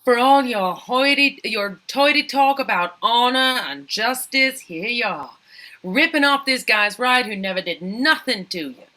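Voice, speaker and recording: A woman's voice: on the high side, expressive, unhurried, pushed and bright. A woman voicing a scrappy mother rat in a comedy cartoon script, combative and sarcastic with folksy, slangy speech. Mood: accusing. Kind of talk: animated comedy table read.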